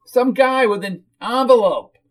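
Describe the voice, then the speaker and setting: A man's voice: very high voice, measured pace, slight background noise, voice pushed up. A man voicing a whiny, chatty woman in her fifties with a brash, playful, familiar manner in a story reading. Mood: brisk, eager.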